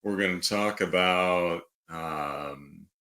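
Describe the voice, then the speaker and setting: A middle-aged man from Dallas, Texas, co-hosting a podcast in casual conversation with a halting, reflective manner. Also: deep voice, pushed and bright. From Dallas, Texas, United States. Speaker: podcast co-host.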